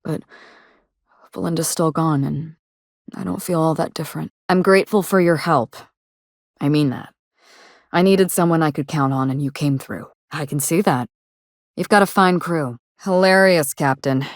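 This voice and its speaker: A low, closely recorded, dry-sounding voice for a video game: a proud actress in mourning. She speaks in measured, formal phrases, wistful yet wry, with a quietly ruthless streak. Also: dry recording. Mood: subdued, grateful. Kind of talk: video game dialogue acting.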